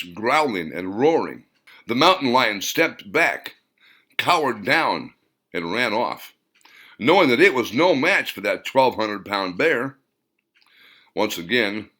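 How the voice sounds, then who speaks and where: A man's voice: mid-range voice, sharp tone, full character voice. A man voicing an earnest male character who tells nostalgic, dramatic boyhood memories in an audiobook. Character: earnest male storyteller recalling boyhood summers with Grandpa.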